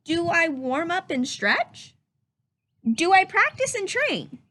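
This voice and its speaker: A woman's voice: very high-pitched, sing-song, takes it slowly, fairly bright tone, pitched above the performer's own voice, heavily acted. A woman voicing a curious little boy in a storybook, asking earnest questions with questioning, rising phrasing. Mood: wondering. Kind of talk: storybook read-aloud.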